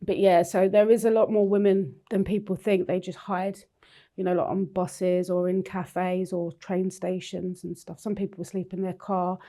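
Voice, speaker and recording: A young adult woman from Newcastle, England talks in a frank, plain-spoken way. Her pitch stays level with little rise and fall, and her voice has a soft, mellow warmth to it. This is a conversational interview. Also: level tone, moderate pace. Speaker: lived experience ambassador.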